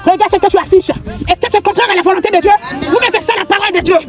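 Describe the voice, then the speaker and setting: Woman preacher, very high voice, exhorting with call and response, crowd and music behind. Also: very fast. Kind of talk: sermon with interpreter. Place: outdoor prayer gathering.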